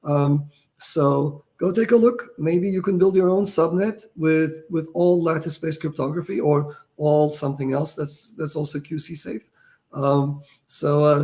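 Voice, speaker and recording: A man's voice: on the high side, a little mellow. Tired man losing his voice. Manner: thinks aloud with many fillers and restarts. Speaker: founder answering viewer questions. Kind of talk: casual explanatory talk.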